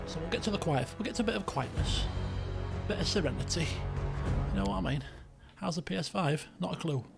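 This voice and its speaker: A British man with a croaky voice, chatting casually and in a rambling, colloquial way as he hosts a game livestream. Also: high-pitched, lively intonation, fairly quick, loud music behind the voice. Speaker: game streamer, host.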